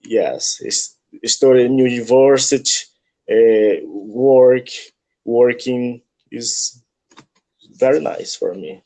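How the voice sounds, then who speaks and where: A man with a Brazilian Portuguese accent talks slowly and haltingly, often stopping to search for words. He sounds like a student practising English on a video call, with an ordinary mid-range voice. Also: medium pitch, mellow, rough recording. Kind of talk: online English lesson conversation.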